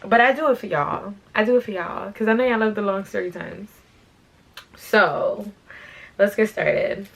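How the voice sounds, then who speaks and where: A young woman hosting her channel, telling a casual story time in a chatty, slangy way while doing her hair, her voice a little raspy from being sick. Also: medium pitch, unhurried, a bit bright, low-quality recording. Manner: chatty, slangy, repeats "like" and "you know what I'm saying". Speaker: fashion channel host.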